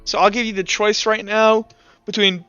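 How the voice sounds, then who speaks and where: A man's voice: high voice, level tone, moderate pace, sharp tone, clear, resonant tone, dry recording, muffled recording, faint music behind. A man speaking casually as game master of a tabletop roleplay game, thinking aloud as he goes.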